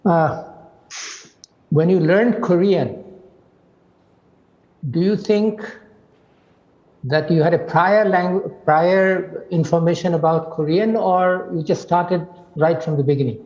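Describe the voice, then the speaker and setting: A man's voice: sits high, takes it slowly, pauses often, darkish tone. A man putting a question to a presenter in a hesitant way. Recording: dull lo-fi sound.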